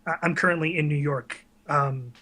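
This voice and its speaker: Bright-voiced man chatting as interview guest. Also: on the high side, moderate pace. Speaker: guest, online video series creator. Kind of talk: casual interview chat.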